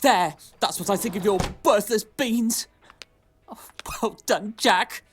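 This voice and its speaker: A woman playing a sharp-tongued, exasperated mother with a sarcastic manner in a pantomime audio drama. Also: mid-range voice, slow pace, faint music behind, lightly acted. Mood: scornful, angry. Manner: sarcastic, scolding.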